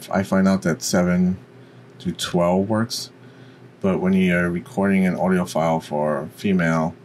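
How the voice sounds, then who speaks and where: A man with a deep voice giving an informal, explanatory software tutorial. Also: slight background noise. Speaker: tutorial presenter.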